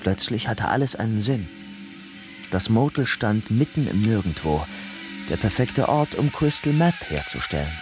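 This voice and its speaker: A man's voice: lowish voice, lively intonation, moderate pace, over music, lightly acted. An actor playing a young ex-convict father-to-be in a radio crime drama, terse and hard-boiled, partly narrating his own story. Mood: grim narrating.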